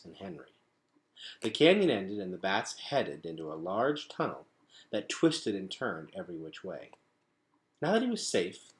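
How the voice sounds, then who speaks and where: Expressive, lively low-voiced man reading a story. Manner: dramatic, emphatic phrasing. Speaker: read-aloud storyteller.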